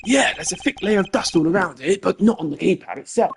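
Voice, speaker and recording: A man voicing an excitable, loyal male detective who talks loudly and keeps calling his boss sir. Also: high-pitched, fast talker, creaky, a little hiss or hum, voice pushed up. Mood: excited. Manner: eager, loud, calls everyone sir. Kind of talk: live-stream game voiceover. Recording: game music behind voice. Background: game music.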